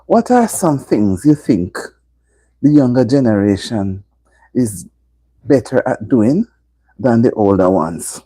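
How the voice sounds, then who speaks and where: A woman's voice: extremely low pitch, big pitch swings, slow, long pauses, dark tone, slightly rough, no echo. A middle-aged woman from Jamaica with a Jamaican accent, chatting casually to viewers on a live stream and repeating herself for emphasis. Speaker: livestream host.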